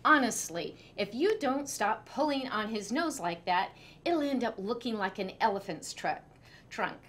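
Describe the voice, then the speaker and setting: A woman's voice: a little high, animated, unhurried, a bit bright. A woman voicing an exasperated mother of two boys in a children's storybook, firm and scolding yet reassuring.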